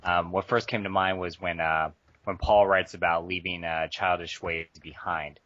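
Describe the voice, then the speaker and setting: A man's voice: deep voice, level tone, moderate pace, fairly bright tone. A man appearing as a podcast guest over a call, speaking thoughtfully with frequent "um"s. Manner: thoughtful, frequent "um"s, laughs easily. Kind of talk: casual podcast discussion. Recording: dry lo-fi call audio.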